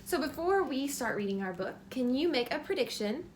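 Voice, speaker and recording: Female, very high voice, children's read-aloud teacher, simple prompting questions, some background noise. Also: expressive, normal speed. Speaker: teacher reading a picture book aloud to children.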